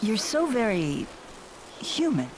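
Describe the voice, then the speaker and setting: A woman playing a regal, composed young warrior in a video game, speaking in a measured, formal way. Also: mid-range voice, sing-song, a little mellow, slight background noise, poor audio. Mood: amused. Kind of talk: video game English dub acting.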